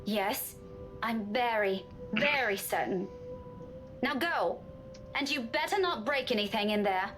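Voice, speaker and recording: A woman's voice: a little high, lively intonation, measured pace, pauses often, fairly bright tone, soft background music. A woman voicing an angry, stubborn young woman in a visual novel game, firm and sardonic. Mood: insistent.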